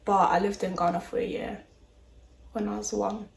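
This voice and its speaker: A young woman from London chatting with friends, animated and quick to laugh. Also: medium pitch, creaky, reverberant. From born in London. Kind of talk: casual chat among friends.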